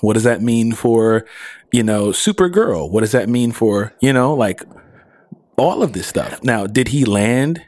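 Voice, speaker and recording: A man co-hosting a casual podcast, his pitch swinging widely as he fires off questions and laughs along the way. The sound is dry and close, with no room behind him. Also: a little slow, no echo. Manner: animated, questioning, laughs often. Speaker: podcast co-host. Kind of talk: casual pop culture chat.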